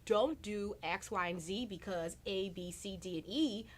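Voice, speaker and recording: A woman's voice: mid-range voice, measured pace. A woman from the Bahamas speaking as a doctor and interview guest, conversational and earnest, repeating words for emphasis.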